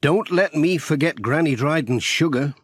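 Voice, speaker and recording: A man's voice: on the high side, moderate pace, husky, no echo, studio quality, pitched above the performer's own voice. A man voicing a kindly, polite village postman who is easily flustered, in a children's storybook. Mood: cheerful, chatty.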